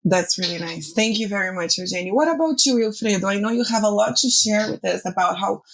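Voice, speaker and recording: Woman interviewer, gravelly voice, friendly winding questions, mid pitch. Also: a little fast.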